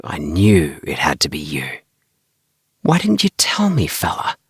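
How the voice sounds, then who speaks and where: A man's voice: extremely low pitch, huge pitch swings, unhurried, husky, no echo, clean studio sound, full character voice. A man voicing a cheery, talkative young male space traveller in an audiobook, with a friendly, eager manner. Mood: hushed, urgent. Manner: chirpy, friendly.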